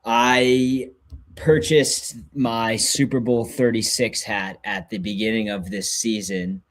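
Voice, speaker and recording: Podcast guest, young male, lowish pitch, casual fan analysis of sports. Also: steady tone, slow pace.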